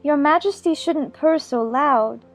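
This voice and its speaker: High-pitched prattling little girl Alice, acted by a woman. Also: measured pace, faint music behind. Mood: mildly scolding. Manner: prattling, playfully scolding. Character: Alice, chatty imaginative little girl, fond and bossy. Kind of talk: dramatized audiobook character acting.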